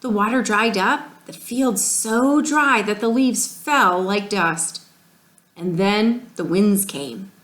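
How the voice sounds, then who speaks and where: A woman's voice: medium pitch, slow pace, fairly bright tone. A woman reading the part of a wistful male country photographer in a storybook. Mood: wistful, faraway. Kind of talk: storybook read-aloud. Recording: echoey room.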